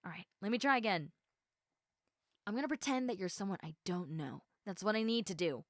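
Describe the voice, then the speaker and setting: A woman playing an eager, socially awkward, chatty young woman in a roleplay audio, halting and excitable as she talks to the listener. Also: medium pitch, dry recording, clean studio sound, lightly acted. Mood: awkward, flustered.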